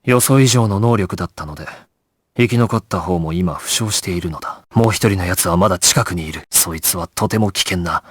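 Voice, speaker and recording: A man's voice: deep voice, fairly quick, breathy edge, no echo, studio quality. A male actor playing a resolute, composed young gangster squad leader in an anime video game, terse and authoritative in his clipped orders. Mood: grave, composed. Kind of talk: Japanese anime game voice acting, dramatic.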